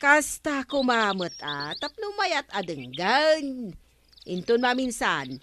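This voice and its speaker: An actress playing a bossy, scolding village councillor in an Ilocano radio comedy, curt and commanding, with an Ilocano accent. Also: female voice, on the high side, very animated, slow pace, noisy background. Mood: stern, nagging. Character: bossy, scolding female village councillor ordering workers around.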